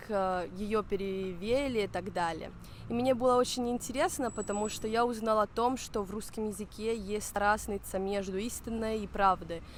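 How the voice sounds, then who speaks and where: A woman's voice: medium pitch, slight background noise. Young woman speaking learned Russian. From Spain. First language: Spanish and Italian. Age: young adult. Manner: hesitant, searching for words with fillers. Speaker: guest. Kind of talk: casual interview in learned Russian.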